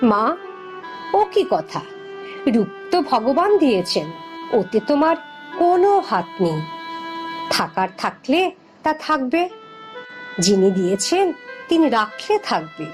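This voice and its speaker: A woman's voice: on the high side, big pitch swings, takes it slowly, pauses often, a little mellow, faint music behind. A woman playing a weary, patient old village mother in a cartoon, chiding and pleading in a plaintive way. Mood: gently chiding.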